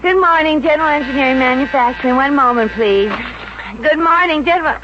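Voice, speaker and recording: An American actress playing a wisecracking Brooklyn showgirl working as a stenographer in a 1950s radio comedy, with a wry, deadpan delivery. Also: sits high, fairly quick, noisy background, lightly acted. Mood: brisk. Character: wisecracking Brooklyn showgirl turned office stenographer, brassy and unfazed. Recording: dull vintage radio recording with music.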